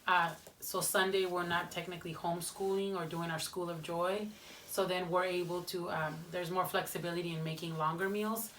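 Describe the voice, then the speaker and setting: A woman's voice: lowish voice, flat delivery, normal speed, fairly bright tone. A woman in casual family conversation, explaining things plainly like a home cook talking to her household. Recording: distant mic, faint background noise.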